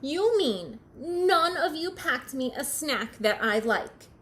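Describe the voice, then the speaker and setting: Woman doing a high, whiny, melodramatic storybook bird. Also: lively intonation, takes it slowly, sharp tone, slight background noise, heavily acted. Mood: whining, desperate. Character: cranky, dramatic, hungry young male bird. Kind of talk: storybook read-aloud for preschoolers.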